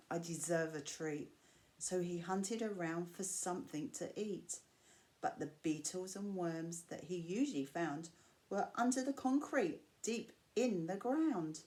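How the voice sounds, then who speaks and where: Unhurried woman reading a children's story. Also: on the low side, a little mellow. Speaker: storyteller reading to school pupils. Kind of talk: picture-book read-aloud in rhyming verse. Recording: clear background noise.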